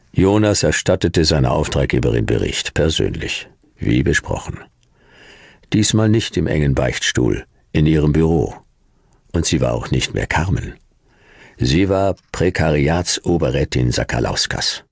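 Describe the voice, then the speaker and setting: An actor playing a world-weary ageing private detective, narrating a radio crime drama in a laconic, deadpan manner. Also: male voice, rumbling low, unhurried, gravelly, husky, exaggerated voice. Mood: dry, laconic. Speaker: narrator and lead character.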